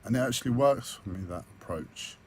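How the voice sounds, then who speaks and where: A man with a croaky, hoarse voice, talking hesitantly with many fillers and restarts in a personal video diary recorded on a tablet. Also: mid-range voice, lively intonation, fairly quick, slight background noise. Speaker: vlogger.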